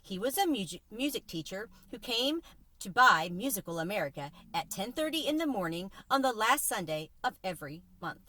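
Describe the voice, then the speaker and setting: A woman storyteller reading a children's novel aloud in an expressive, animated way. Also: mid-range voice, normal speed, poor audio.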